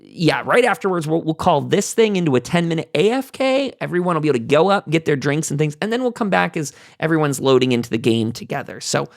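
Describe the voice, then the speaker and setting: A man's voice: a little high, big pitch swings, fast, gravelly, few pauses, no echo, clean studio sound. A man hosting a casual roundtable chat among friends, speaking informally to his guests. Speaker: host of a panel show.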